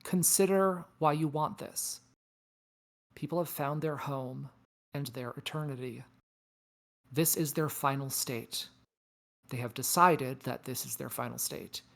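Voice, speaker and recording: A performer in a table read playing a weary, knowing space probe, speaking in a measured, deliberate, philosophical way. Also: medium pitch, vocal fry, fairly bright tone. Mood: matter-of-fact. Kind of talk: table read of science fiction script. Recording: music behind the voice. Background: music.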